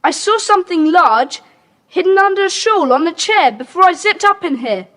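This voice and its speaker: A woman playing a keen, excitable young schoolboy in a children's audio adventure. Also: very high voice, moderate pace. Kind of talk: children's audiobook drama acting. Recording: faintly hissy cassette tape.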